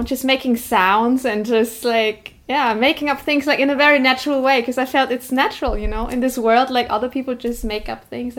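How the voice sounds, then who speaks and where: A woman from Germany whose first language is Vietnamese, recalling a childhood memory in a soft, reflective way. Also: a little high. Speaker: interviewee. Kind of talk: personal storytelling.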